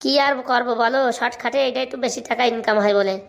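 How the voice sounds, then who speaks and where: A hapless young man from a comic cartoon , acted out by a man, grumbling. He talks very fast in a colloquial way, like a street vendor always short of luck. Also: very high-pitched, fairly even intonation, creaky, muffled recording. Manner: complaining, colloquial, drawn-out sales calls. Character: hapless, grumbling young bachelor turned scrap hawker. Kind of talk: comic cartoon dialogue and street-hawker calls.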